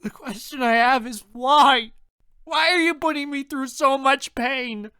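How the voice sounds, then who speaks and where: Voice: high-pitched, unhurried, bright, forceful tone, no room sound, voice pushed up. A narrator voicing a distraught, heartbroken young protagonist in an audiobook, crying and pleading in a choked-up, strained voice. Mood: desperate despair.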